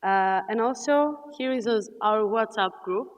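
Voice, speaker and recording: A woman's voice: a little high, measured pace. A young woman giving an informal welcome presentation as a student network representative. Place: large indoor hall. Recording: echoey hall with long reverb.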